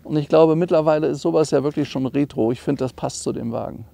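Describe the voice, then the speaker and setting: A man's voice: a little high, quick pace, creaky, mellow. A middle-aged man giving an informal, enthusiastic car walkaround as a classic car dealer, explaining details conversationally.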